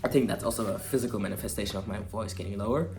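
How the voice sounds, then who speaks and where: Low-voiced young man chatting casually. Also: rapid-fire. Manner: relaxed, rambling, many fillers and restarts. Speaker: vlogger. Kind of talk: casual personal update to camera. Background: soft guitar music.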